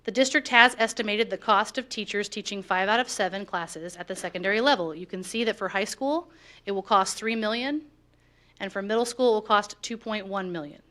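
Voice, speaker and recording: A woman's voice: mid-range voice, brisk, sharp tone. Woman reading a firm prepared statement. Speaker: teachers' union negotiator. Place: board of education meeting room.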